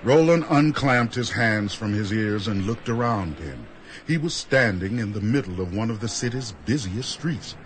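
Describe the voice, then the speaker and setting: Male radio drama narrator, fairly low voice, storytelling delivery, slight background noise. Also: expressive, moderate pace.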